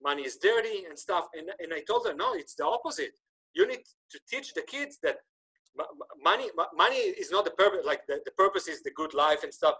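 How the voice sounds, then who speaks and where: Animated Hebrew-native man on a video call. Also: a little high, a little fast, husky, low-quality recording. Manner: animated, gesturing, many restarts. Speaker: podcast host. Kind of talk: casual interview chat. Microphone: video call webcam.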